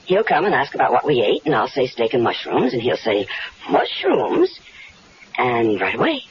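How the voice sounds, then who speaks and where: A scheming wife in an old radio mystery plays it sweet while she plans a murder. Her voice swoops with lively melody, and the broadcast sounds dull, with a faint hiss underneath. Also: rumbling low, moderate pace, heavily acted. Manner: coaxing, confident, sweetly calculating. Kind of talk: 1970s radio drama acting.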